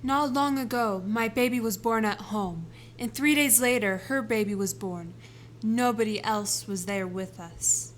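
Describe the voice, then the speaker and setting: A pleading young mother speaks in a high, slow, hushed voice, as a man puts her on in falsetto. She sounds anxious and desperate, with faint hiss beneath her words in this home-made audio drama.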